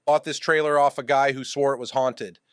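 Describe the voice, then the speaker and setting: A man playing an eccentric, stoic oddball in an audio drama, speaking with formal, earnest deadpan. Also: mid-range voice, a little fast, smooth, dry recording, clean studio sound, close to an everyday voice. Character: eccentric, stoic male hot-sauce maker in a trailer.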